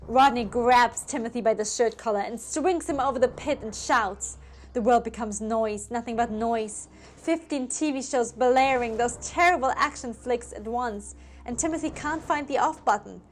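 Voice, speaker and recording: A woman's voice: on the high side, moderate pace, a little hiss or hum. A woman storyteller reading a scripted tale in a theatrical, suspenseful manner. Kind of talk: dramatic scripted story narration. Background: music.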